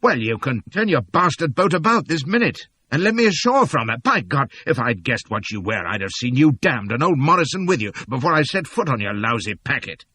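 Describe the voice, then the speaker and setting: A man voicing a panicked young Englishman in an audiobook, loud, high and frantic. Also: very animated, a little fast, few pauses, breathy edge, no echo, exaggerated voice. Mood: outraged. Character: panicked young Englishman, blustering then pleading. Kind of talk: audiobook character voice.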